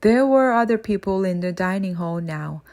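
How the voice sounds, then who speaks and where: A woman reads a children's adventure story aloud as an audiobook narrator. Her voice sits at a middle pitch, and she moves between narration and acted dialogue. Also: expressive, moderate pace, darkish tone. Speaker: audiobook reader.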